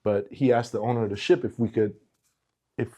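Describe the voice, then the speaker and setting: A man's voice: medium pitch, steady tone, a little fast, dark tone, slightly rough. A middle-aged man born in Portugal, a former teacher talking in a casual conversation, emphatic and given to repeating words as he builds a point.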